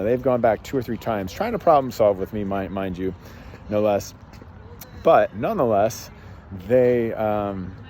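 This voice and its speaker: Low, mellow man telling a story. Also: a little hiss or hum. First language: English. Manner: rambling, many fillers and restarts. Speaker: podcast host and life coach. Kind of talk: casual storytelling monologue.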